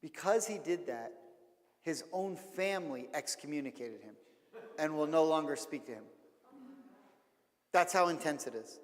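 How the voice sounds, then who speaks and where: A man's voice: fairly high pitch, animated, moderate pace, pauses often. Chatty rabbi man in a reverberant hall. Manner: chatty, frank, repeats words while thinking. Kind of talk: casual lecture with audience questions.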